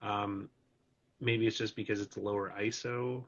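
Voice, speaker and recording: A man hosting a live stream, tired and starting to lose his voice. His voice sits a little low, and he rambles loosely with plenty of fillers. The stream audio is dull and lo-fi. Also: fairly even intonation, measured pace. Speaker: host. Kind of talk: casual chat on a live stream. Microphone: video call.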